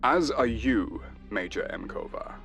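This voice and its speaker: A man playing a ruthless human military officer in a science fiction audio drama, sardonic, deliberate and coldly courteous. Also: mid-range voice, animated, fairly bright tone, soft background music. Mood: smug.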